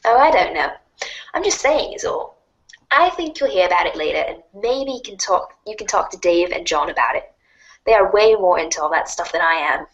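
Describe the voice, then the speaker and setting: A woman's voice: lowish voice, expressive, a little fast, highs cut off. A woman voicing an eager, awkward, friendly teenage girl in a dramatic reading. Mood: earnest, awkward.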